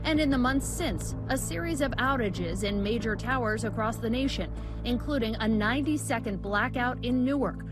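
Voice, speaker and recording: High-pitched woman reporting news over music. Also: moderate pace. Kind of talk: scripted news report narration. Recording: music bed behind the voice.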